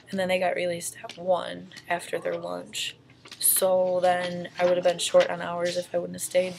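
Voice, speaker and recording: A young woman, a student teacher, calmly recounting her week in casual chat with some fillers. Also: fairly low pitch, creaky, a little hiss or hum. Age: young adult. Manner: steady, matter-of-fact recounting with fillers.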